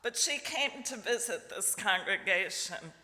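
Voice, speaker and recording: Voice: medium pitch, lively intonation, unhurried, sharp tone. A congregant from Lithuania sharing at a church service, heartfelt and often chuckling. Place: church sanctuary. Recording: long church reverb.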